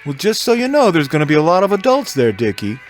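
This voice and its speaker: A man plays a friendly shop owner in an audio drama, chatty and encouraging. His voice sits high and swings widely in pitch, with expressive delivery over background music. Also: fast talker. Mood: helpful, easygoing. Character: friendly adult male game-store owner, encouraging. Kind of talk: audio drama character dialogue.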